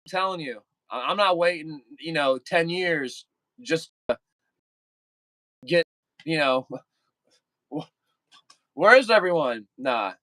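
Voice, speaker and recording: A man's voice: fairly high pitch, lively intonation, takes it slowly, pauses often, pushed and bright, no room sound, low-quality recording. A young man chatting casually with viewers on a livestream, rambling and repeating himself with lots of fillers.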